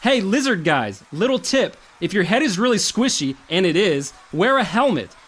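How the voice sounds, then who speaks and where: A man's voice: sits high, sing-song, moderate pace, fairly bright tone, slightly rough, exaggerated voice. A man playing a squeamish, sardonic young hero in an audio drama, given to lecturing.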